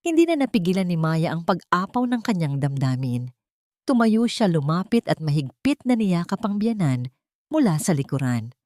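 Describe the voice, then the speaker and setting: A woman narrates a scripted drama in a somewhat low voice. She tells it solemnly and expressively, as a storyteller. The sound is dry, with hardly any room to it. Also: very animated, normal speed, warm tone, no echo.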